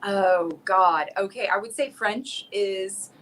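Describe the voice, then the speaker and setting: A woman's voice: medium pitch, takes it slowly, fairly bright tone. Chatty American woman on a video call. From South Florida. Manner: chatty, laughs often, many fillers and restarts. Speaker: singer and guest. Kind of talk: casual video call interview.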